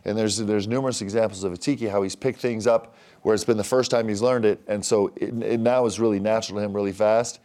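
A man's voice: lowish voice, steady tone, mellow. A man who is a basketball coach, talking about his player in an enthusiastic, articulate way. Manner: enthusiastic, articulate, speaks fast when praising. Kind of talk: casual interview chat.

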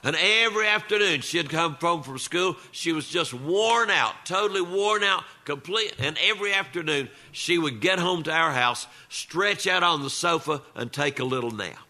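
A man's voice: a little high, animated, normal speed, hardly any pauses, sharp tone. An older man telling humorous family stories in a folksy, conversational manner, acting out the dialogue of relatives as he goes. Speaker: storyteller. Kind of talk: live humorous family storytelling.

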